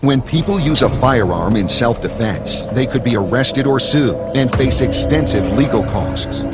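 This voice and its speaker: A man reading a scripted radio advertisement as an announcer. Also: medium pitch. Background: loud music bed.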